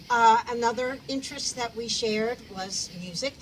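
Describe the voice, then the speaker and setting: A woman's voice: fairly high pitch, slow pace. Woman heard from a distant mic. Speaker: deli worker speaking at a memorial. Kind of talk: memorial remarks. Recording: distant mic, noisy room.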